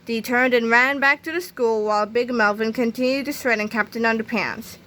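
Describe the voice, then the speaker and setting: A woman reading a children's book aloud in an expressive storytelling style. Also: fairly high pitch, a little fast, slight background noise. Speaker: storybook reader.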